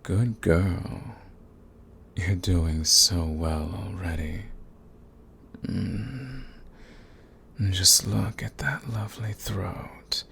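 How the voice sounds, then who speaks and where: Man acting a deep, slow, menacing vampire lord. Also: creaky, a bit bright, a little hiss or hum, full character voice. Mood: commanding, seductive. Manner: menacing, silky, taunting, formal and deliberate. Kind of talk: ASMR roleplay audio drama.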